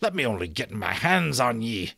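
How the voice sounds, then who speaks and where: A man's voice: medium pitch, big pitch swings, normal speed, vocal fry, pushed and bright, husky, no room sound, studio quality, heavily acted. A man voicing a hot-tempered, blustering giant outlaw in a storybook, with old-fashioned rustic turns of speech. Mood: furious.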